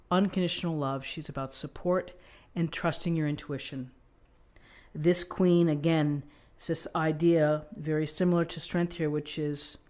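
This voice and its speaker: A woman giving an unscripted tarot reading, explaining things in a gently encouraging manner. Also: low voice. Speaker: tarot reader.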